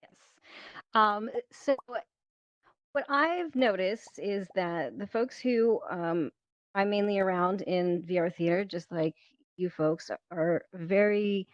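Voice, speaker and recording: A woman's voice: mid-range voice, slow pace, no room sound. A woman casually moderating an online panel discussion in a conversational way. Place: virtual reality social platform meetup, online. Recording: dull lo-fi online voice chat.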